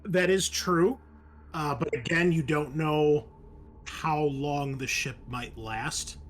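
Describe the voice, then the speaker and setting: A man explaining a tabletop roleplaying scenario as game master, speaking in a hesitant, halting way. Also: on the high side, a little hiss or hum. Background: background music.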